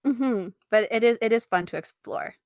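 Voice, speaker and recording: A woman speaking as an interview guest, an artist chatting casually about her own work in an animated, informal, giggly way. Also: fairly high pitch, normal speed, dry recording, studio quality.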